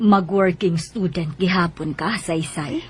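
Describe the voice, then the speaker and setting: An actress playing a concerned mother in a Filipino radio drama, with a husky voice and Cebuano accent. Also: on the low side, steady tone, a little slow, faint music behind. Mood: questioning concern. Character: concerned mother of a working student.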